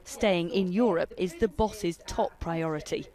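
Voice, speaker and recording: A woman's voice: on the low side, a little slow, dark tone. Woman reporter narrating a news piece.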